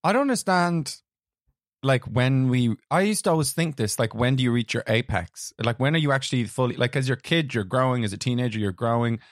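Casting: hoarse gravelly young guy, loose podcast chatter, dry close sound. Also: medium pitch, lively intonation, brisk, dry recording. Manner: rambling, laughs easily. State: hoarse from shouting the night before. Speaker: podcast co-host. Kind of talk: casual chat.

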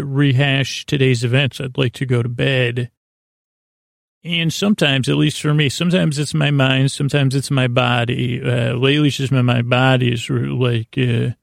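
A man hosting a sleep podcast, talking in a meandering, halting way from a small closet. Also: medium pitch, vocal fry, no room sound, clean studio sound. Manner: meandering, halting, many restarts and fillers. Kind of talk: rambling bedtime monologue.

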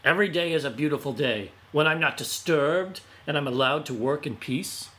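A man's voice: fairly high pitch, moderate pace, a bit bright, voice pushed up, lightly acted. A man doing testy old Autumn, a proud leaf-painting spirit. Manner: testy and dismissive. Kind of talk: storybook reading.

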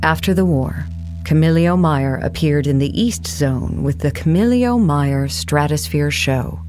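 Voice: mid-range voice, animated, unhurried, a little mellow, natural voice. A narrator reading a scripted documentary account for an audio play in a formal, factual manner.

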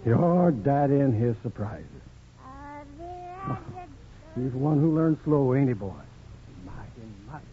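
An actor playing a kindly, loyal ex-cavalry porter with a folksy, easygoing way of talking in a 1950s radio drama. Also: male voice, mid-range voice, expressive, normal speed, close to an everyday voice. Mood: kindly, doting. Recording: tinny old radio recording, music behind.

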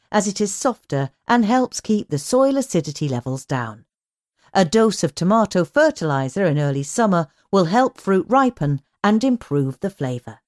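British woman reading, low-pitched and lively. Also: no room sound, studio quality. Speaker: magazine audio edition reader. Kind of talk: scripted narration, read magazine articles.

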